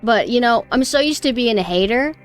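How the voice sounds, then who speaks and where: A young woman with a high voice, chatting casually and snarkily on a stream, her close mic clipping on loud peaks. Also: animated, brisk, faint music behind. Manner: chatty, rambling, mockingly snarky. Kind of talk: casual reaction stream chat. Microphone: close mic that clips loud peaks.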